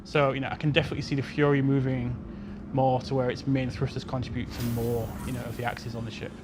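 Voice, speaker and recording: Mid-pitched man explaining, over clear noise. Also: flat delivery, quick pace. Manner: hedging, explanatory, peppered with "you know" and "kind of". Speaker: game developer on a panel. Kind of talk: informal explanatory question-and-answer.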